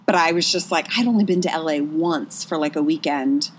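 A woman with a notably low voice speaks earnestly, reflecting on her own life. She is from Pennsylvania and tells her story as a guest in a candid, personal way. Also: fairly quick, slight background noise. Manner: earnest, reflective, speaks with frequent "I was just like".

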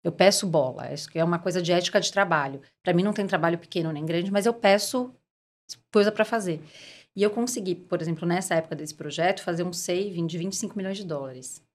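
A woman's voice: lowish voice, quick pace. A Brazilian woman in her forties telling career stories conversationally as an interview guest, repeating words for emphasis and acting out quoted remarks. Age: 40s. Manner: animated storyteller, emphatic repetition, quotes dialogue. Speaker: podcast guest, corporate executive.

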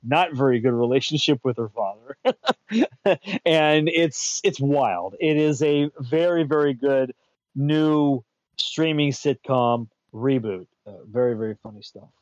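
A man's voice: mid-range voice, a little slow, no echo. A man hosting a casual pop-culture chat, rambling, restarting and repeating his words and chuckling as he goes. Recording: dull lo-fi dry sound.

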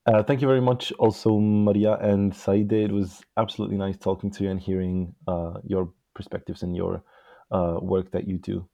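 A man's voice: deep voice, level tone, normal speed, gravelly, mellow, no echo. A young man from Switzerland, a podcast guest giving earnest opinions and stressing words like "absolutely". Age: young adult. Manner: emphatic, repeats "absolutely".

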